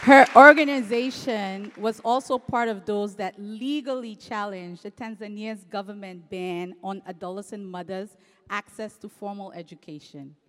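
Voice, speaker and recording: A woman's voice: medium pitch, moderate pace, fairly bright tone, some chatter behind. A woman moderating a panel discussion, introducing speakers formally. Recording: reverberant hall.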